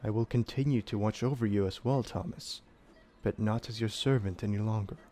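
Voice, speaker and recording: A man plays a grave demon servant in an audio drama. His speech is formal and measured, with a stately, dutiful air, and music sits underneath. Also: lowish voice, warm tone, close to an everyday voice. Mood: resolute. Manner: formal, measured, archaic phrasing without contractions.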